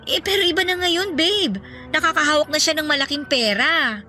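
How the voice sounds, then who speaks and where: A concerned Filipino girlfriend in a radio drama. Her voice is crisp, bright and a bit high, and she talks in a practical, persuasive way over faint music. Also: normal speed. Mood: concerned, coaxing. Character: concerned, outspoken young girlfriend, practical and caring.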